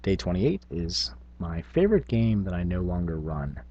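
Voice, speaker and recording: A man's voice: deep voice, sing-song, normal speed, warm tone, dull audio. A middle-aged man talking informally and reflectively as a channel host, hesitating and thinking aloud as he goes. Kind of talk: casual reflective vlog on tabletop gaming.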